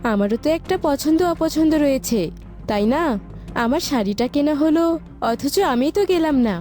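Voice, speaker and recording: A woman acting a headstrong young bride-to-be in an animated moral story, insistent and petulant. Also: high voice, moderate pace. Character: headstrong young bride-to-be, a complaining sister-in-law. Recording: faint music behind.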